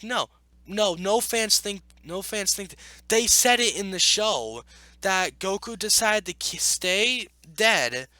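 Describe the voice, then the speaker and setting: A man hosting a video, reading aloud and arguing back with blunt, opinionated off-the-cuff commentary in a halting, self-correcting way. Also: high voice, bright, forceful tone, no echo. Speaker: video host reacting to an article.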